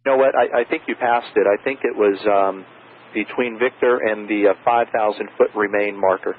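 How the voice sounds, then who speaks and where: Low-voiced tower controller on tinny radio. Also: male voice, steady tone, moderate pace. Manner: procedural phrasing mixed with casual, hesitant asides. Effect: aviation radio. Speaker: airport tower air traffic controller. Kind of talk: air traffic radio instructions. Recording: narrow, tinny aviation radio with faint static.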